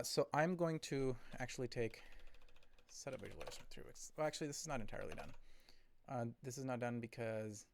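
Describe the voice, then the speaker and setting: A man thinking aloud as he codes on a live stream, rambling and correcting himself, his voice a bit hoarse from talking for a long time. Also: mid-range voice, slight background noise. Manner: rambling, self-correcting, chatty with viewers.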